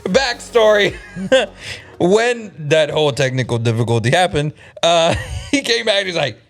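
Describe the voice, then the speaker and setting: Lively, laughing man on a podcast. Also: a little high, a little slow, gravelly, faint music behind. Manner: animated and laughing often. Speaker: podcast host. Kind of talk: casual podcast chat.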